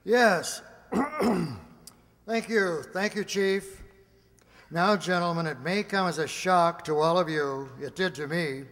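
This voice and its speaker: An actor playing a persuasive laboratory security chief, deliberate and emphatic, in a radio play. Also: male voice, on the high side, a bit bright. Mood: formal, earnest. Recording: echoey hall with long reverb.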